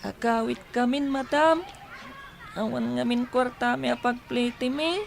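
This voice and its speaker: A woman's voice: on the high side, a little slow, creaky, a little hiss or hum. A woman playing a sick, weary and polite young grandchild in an Ilocano radio drama. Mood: weary, pleading.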